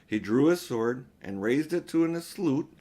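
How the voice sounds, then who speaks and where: An older man reads a storybook aloud in a middle-pitched voice. His delivery is homely and unpolished, an amateur storyteller at ease with the page. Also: expressive, normal speed, mellow. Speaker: audiobook reader. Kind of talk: storybook reading with informal asides.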